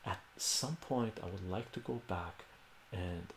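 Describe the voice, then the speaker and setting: Deep gravelly older man streaming math chat. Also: unhurried, echoey room, a little hiss or hum. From Armenia. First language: Armenian. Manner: rambling, self-correcting, answers chat questions aloud. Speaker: livestream host. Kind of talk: casual livestream chat answering viewers. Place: home room with bookshelves.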